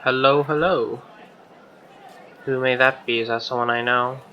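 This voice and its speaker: A man's voice: mid-range voice, fairly even intonation, measured pace, muffled recording, some chatter behind, a little hiss or hum. A young man streaming, reading game text aloud and adding slangy, offhand casual commentary. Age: young adult.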